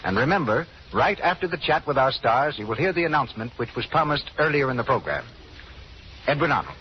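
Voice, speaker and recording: A muffled old radio recording with faint hiss carries an American man announcing a program. His medium-pitched voice swings widely in a formal, scripted 1930s announcer style. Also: very animated, a little fast.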